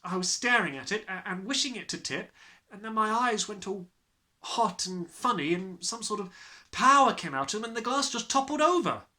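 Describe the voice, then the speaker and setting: An excitable little schoolgirl from a children's storybook, acted by a man. The voice sits high and bright, swinging animatedly as she insists earnestly and eagerly. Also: moderate pace, full character voice. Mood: excited, intense.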